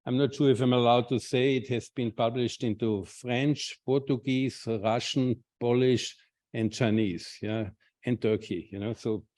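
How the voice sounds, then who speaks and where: Halting Austrian man giving a talk. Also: mid-range voice, a little mellow. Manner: halting, many restarts and repeated words. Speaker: invited speaker, clinician researcher. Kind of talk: conference talk with slides.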